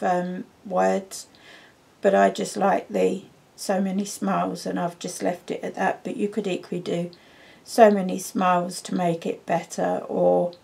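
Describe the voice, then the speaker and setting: Croaky woman with a cold. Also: mid-range voice, dark tone, slight background noise. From United Kingdom. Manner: chatty, with frequent ums. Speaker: craft demonstrator. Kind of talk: card-making tutorial.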